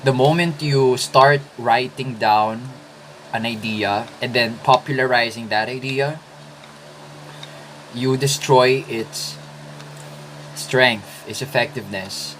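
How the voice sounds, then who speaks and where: A man in his twenties from the Philippines, whose first language is Filipino, talking through writing tips in a casual vlog with thoughtful pauses and restarts. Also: medium pitch, steady tone, fairly bright tone, slight background noise, low-quality recording. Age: 20s. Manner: slow, thoughtful, with hesitant restarts. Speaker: writing vlogger.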